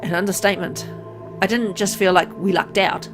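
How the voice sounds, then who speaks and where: Female reader, medium pitch, theatrical brooding first-person narration for a visual novel, clear music bed. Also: fairly even intonation, normal speed. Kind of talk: dramatic first-person narration read aloud.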